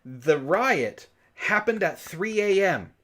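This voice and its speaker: A man with a fairly high, very animated voice reads fiction aloud as a book-club host. He keeps stepping out of the text with exasperated, incredulous comments. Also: takes it slowly. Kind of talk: reading fan fiction aloud with mocking commentary.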